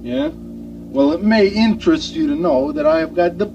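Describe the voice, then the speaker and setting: A man's voice: sits high, very animated, normal speed, vocal fry, dark tone, slight background noise, full character voice. A young man playing a cocky, boastful, bossy gang leader in a radio drama. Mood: cocky, needling. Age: young adult. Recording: muffled radio drama with music behind.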